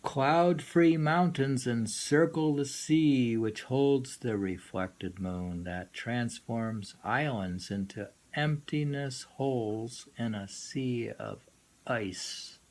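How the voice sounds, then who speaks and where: A man reading poems aloud informally and musing on them in a halting way, often repeating lines. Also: mid-range voice, darkish tone. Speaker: poetry reader and commentator. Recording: noisy amateur recording.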